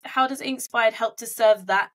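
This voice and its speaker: A woman's voice: fairly high pitch, normal speed, a bit bright. A woman hosting an interview over a video call, phrasing her questions in a tentative, roundabout way. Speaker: podcast host, interviewer. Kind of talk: conversational interviewing.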